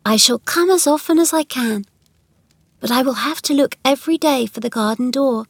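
Woman voicing an earnest, high-voiced young girl. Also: moderate pace, no echo. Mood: gentle, friendly. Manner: pleading, coaxing. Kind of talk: children's storybook narration.

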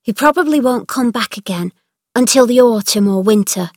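A woman's voice: fairly high pitch, expressive, normal speed, darkish tone, no echo, studio quality, pitched above the performer's own voice. A woman voicing a friendly young maid passing on news eagerly.

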